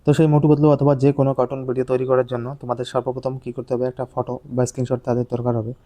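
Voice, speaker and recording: Man, tutorial host, very muffled mellow tone, monotone, halting explanations. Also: mid-range voice. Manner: repetitive step-by-step explaining, halting. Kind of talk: informal step-by-step software tutorial.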